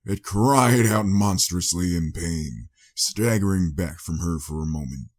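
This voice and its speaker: A man's voice: sits low, unhurried. A man reading a scripted story as a dramatic audiobook narrator.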